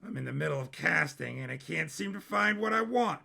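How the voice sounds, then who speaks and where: A man's voice: on the high side, expressive, a little fast, gravelly, sharp tone, heavily acted. A man voicing a brash, manic royal bastard turned robber in an audio story, boisterous, cocky and theatrical. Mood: cocky, taunting.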